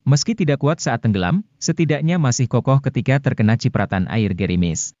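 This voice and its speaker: Mellow man, dry scripted narration. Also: mid-range voice, lively intonation, a little fast, no echo, muffled recording. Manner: formal, informative. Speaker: narrator of gadget review videos.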